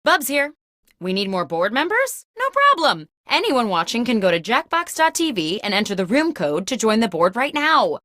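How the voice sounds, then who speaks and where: A woman's voice: fairly high pitch, moderate pace, dry recording, studio quality. A woman playing a peppy, quirky office boss who hosts a comedic video game with mock-corporate patter. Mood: chipper. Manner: animated, mock-corporate comic delivery. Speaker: video game host character. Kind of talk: scripted comedic game show hosting.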